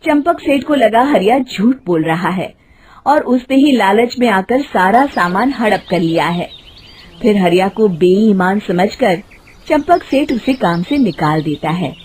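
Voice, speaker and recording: A woman's voice: mid-range voice, animated, measured pace, a little mellow, a little hiss or hum. A woman narrating a scripted moral tale in a dramatic storytelling style.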